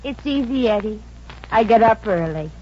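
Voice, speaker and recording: An actress playing a brash, wisecracking young working-class fiancée in a 1950s radio comedy, with slangy, sassy patter. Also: female voice, a little high, animated, normal speed, mellow, natural voice. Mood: dismayed, flippant. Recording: dull, noisy old radio recording.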